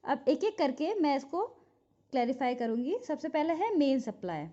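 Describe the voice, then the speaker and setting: A woman's voice: high-pitched, lively intonation, normal speed, mellow. A woman with an Indian accent giving an instructional lecture from notes, explaining and repeating key points to be memorized. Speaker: physiotherapy teacher. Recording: dull lo-fi recording.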